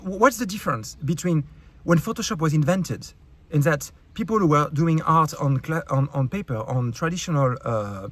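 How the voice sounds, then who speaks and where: French guy ranting to his viewers, kinda raspy, blunt, swears a lot, lots of uhs. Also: a little high, animated, normal speed, fairly bright tone. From France. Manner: blunt, profane, heated, many fillers and restarts. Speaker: YouTube creator. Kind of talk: angry rant to camera.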